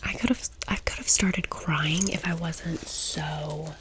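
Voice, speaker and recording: A woman's voice: sits low, steady tone, slow, a bit bright, slight background noise. An American woman in her thirties whispering a personal story as an ASMR host, chatty and confiding, drifting into asides.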